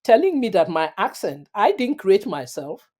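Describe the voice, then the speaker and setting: Earnest middle-aged African woman, mellow voice. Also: medium pitch, very animated, normal speed. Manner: earnest, emphatic, repeats phrases for stress. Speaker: interview guest. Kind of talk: reflective personal storytelling.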